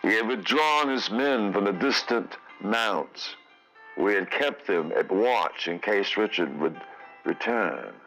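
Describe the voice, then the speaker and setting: A man tells a fantasy tale in a grand, portentous storytelling style. His lowish voice moves very slowly and rises and falls dramatically. Prominent music plays beneath the narration. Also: lively intonation, poor audio. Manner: theatrical, portentous. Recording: music under the voice.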